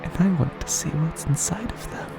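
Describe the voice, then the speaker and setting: A man playing an unhinged narrator descending into madness in a horror audio drama, speaking slowly and haltingly, often hushed to a whisper over loud music. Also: on the high side, vocal fry, voice pushed up. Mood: manic, eerie revelation.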